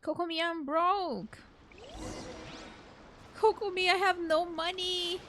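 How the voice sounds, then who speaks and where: A woman's voice: very high-pitched, fairly even intonation, takes it slowly, fairly bright tone, a little hiss or hum. A young woman game streamer reacting casually as she plays, slangy and dramatically exasperated. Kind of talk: casual live gameplay reaction. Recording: game music behind the voice.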